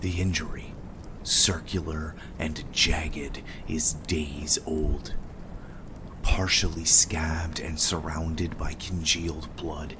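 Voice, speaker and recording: Male narrator, extremely low pitch, flat delivery, grave ornate gothic horror, noisy ambience. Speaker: narrator, game master.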